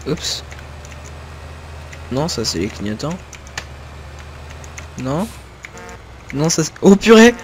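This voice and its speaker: A young man from northern France, speaking in a fairly high voice over a desktop mic. He rambles through casual gameplay commentary, full of hesitations and small laughs at himself. A faint hum of a tractor engine sits in the background. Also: sing-song, takes it slowly, pauses often, low-quality recording. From Picardy, northern France. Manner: chatty and rambling, many fillers, laughs at himself. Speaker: gaming video creator.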